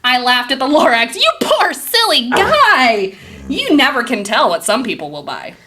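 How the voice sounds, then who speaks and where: A woman voicing an old, boastful recluse who tells a tale in rhyme, theatrical and drawn-out, in a children's puppet show. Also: high-pitched, big pitch swings, very noisy. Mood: dismissive, smug. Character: Onceler, old reclusive tree-chopper reminiscing, boastful, dramatic. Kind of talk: rhyming storybook puppet show narration.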